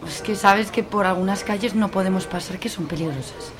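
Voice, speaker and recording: A woman's voice: mid-range voice, fairly quick, a crowd in the background, close to an everyday voice. A young woman acting a fussy, posh and snobbish complainer in an amateur radio soap, indignant and whiny. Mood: whiny, anxious. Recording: clear background noise.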